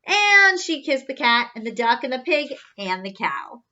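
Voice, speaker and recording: Animated, high-voiced woman reading to children. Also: a little slow, highs cut off. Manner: theatrical, questioning, gasping with wonder. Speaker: story reader, children's teacher-like host. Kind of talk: animated children's storybook read-aloud.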